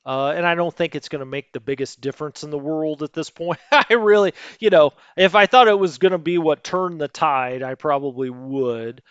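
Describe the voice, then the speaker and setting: A man's voice: fairly high pitch, normal speed, few pauses, fairly bright tone, clean tone, no room sound, muffled recording. A man hosting a strategy game let's play, thinking aloud in a rambling, hesitant way with lots of fillers and second-guessing. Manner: rambling, self-questioning, hesitant, many fillers.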